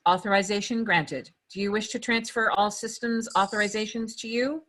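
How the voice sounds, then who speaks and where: A woman's voice: medium pitch, steady tone, normal speed, fairly bright tone, close to an everyday voice. A woman voicing an impersonal, procedural computer security system in a screenplay table read. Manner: formal, procedural.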